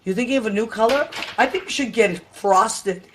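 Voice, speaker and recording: A hoarse woman in her fifties hosting a casual live chat from home, rambling and tired, talking into a webcam. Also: fairly high pitch, fairly quick, noisy background. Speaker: livestream host. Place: home room with Christmas tree.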